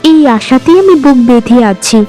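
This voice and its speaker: Woman voicing a meek, gentle young sister. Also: high voice, expressive, moderate pace, faint music behind. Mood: wistful, hopeful. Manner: gentle, earnest, submissive. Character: meek, kind, long-suffering young sister. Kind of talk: animated folk-tale dialogue.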